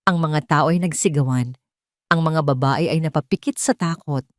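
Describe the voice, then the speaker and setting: A woman with a slightly low voice narrates a scripted first-person drama. Her delivery is emotive and deliberate. The sound is dry, with very little room in it. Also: no echo. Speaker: audio story narrator.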